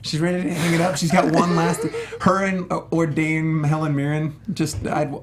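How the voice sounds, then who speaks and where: A man co-hosting a film review show, chatting casually with quick banter and interjections. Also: fairly high pitch, level tone, slight background noise. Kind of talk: casual panel chat.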